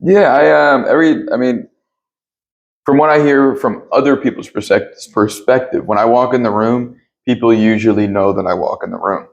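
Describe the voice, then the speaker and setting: A man with a deep voice speaking earnestly as an interview guest about spiritual matters, often pausing to search for words. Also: creaky, lo-fi sound. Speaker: interview guest, yoga teacher and Reiki practitioner. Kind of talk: reflective spiritual conversation.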